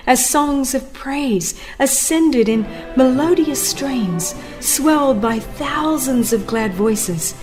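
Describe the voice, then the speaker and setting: A woman narrates a religious audiobook in a fairly high voice. Her delivery is slow, solemn and dramatic, with deliberate pauses between phrases. Soft music plays behind her. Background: music under the voice.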